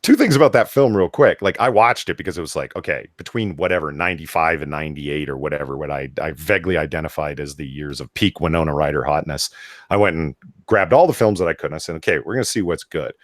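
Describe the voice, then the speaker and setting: A man's voice: extremely low pitch, fast, no echo, studio quality. A man co-hosting a live podcast, talking casually and rambling, laughing often. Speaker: podcast co-host. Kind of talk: casual chat.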